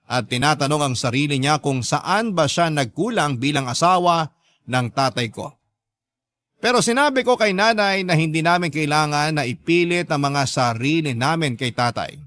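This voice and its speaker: A man's voice: a little high, fairly bright tone, no echo. A man with a Filipino accent narrating a scripted, dramatic first-person story as a radio drama host.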